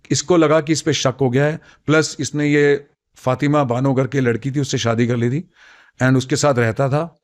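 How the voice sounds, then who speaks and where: A middle-aged man with an Indian accent and a mid-range voice recounts his army days. He talks as a veteran officer in conversation, mixing two languages within his sentences. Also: mid-range voice. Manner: code-switches between Hindi and English. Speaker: retired army colonel, interview guest.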